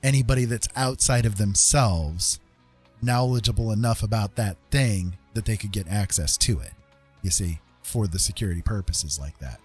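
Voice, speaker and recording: A man hosting a live stream in a casual, rambling chat, often pausing mid-thought and repeating his phrases. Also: on the low side, darkish tone, breathy edge, soft background music.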